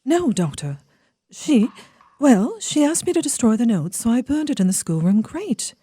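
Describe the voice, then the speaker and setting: A husky, earnest young governess. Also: female voice, fairly high pitch, big pitch swings, warm tone. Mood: hesitant. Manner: halting, emphatic, earnest. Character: accused young governess, earnest, proper and distressed. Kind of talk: melodramatic audio theatre acting.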